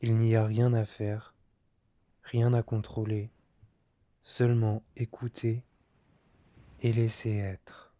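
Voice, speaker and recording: Male guided-relaxation voice: very mellow and dark, very slow, soothing, with long pauses between short instructions. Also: lowish voice, little pitch movement, dry recording. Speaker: meditation guide. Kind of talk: guided yoga nidra relaxation.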